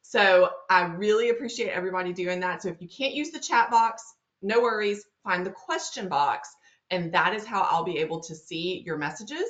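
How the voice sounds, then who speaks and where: A woman's voice: lowish voice, a bit bright. A woman in her thirties with a Southern US accent, hosting a marketing webinar in a friendly, chatty way. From Louisiana, US. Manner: friendly, chatty, talks fast. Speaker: webinar host. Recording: dull lo-fi web-call audio.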